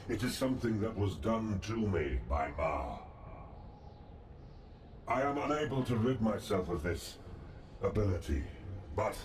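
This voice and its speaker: An actor voicing an ancient, regal male god in a video game, speaking in a calm, formal, measured and solemn manner. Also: on the low side, slight background noise. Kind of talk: scripted video game dialogue. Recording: cavernous echo, distant, music and ambience behind.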